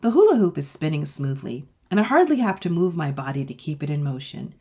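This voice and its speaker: A woman's voice: low-pitched, sing-song, brisk, mellow, breathy edge. A woman author reading a children's story aloud expressively and speaking directly to young listeners. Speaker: author reading their own book.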